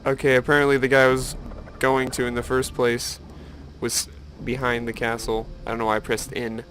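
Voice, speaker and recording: A man's voice: medium pitch, monotone, moderate pace, a bit bright, a little hiss or hum. A man giving casual, rambling game commentary with musing, wry asides to the viewer. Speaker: gameplay video host.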